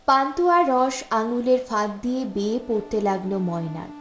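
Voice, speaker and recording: A woman's voice: on the high side, expressive, moderate pace, faint music behind. A woman reading a literary story aloud as an audiobook narrator. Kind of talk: expressive literary story narration with acted dialogue. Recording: roomy, echoing voice recording.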